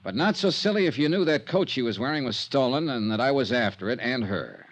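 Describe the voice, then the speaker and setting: A man's voice: mid-range voice, big pitch swings, brisk. An actor playing a tough, dogged insurance investigator in an old-time radio drama, terse and dry as he narrates and questions. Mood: accusing. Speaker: lead detective and narrator. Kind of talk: hard-boiled 1950s radio detective acting.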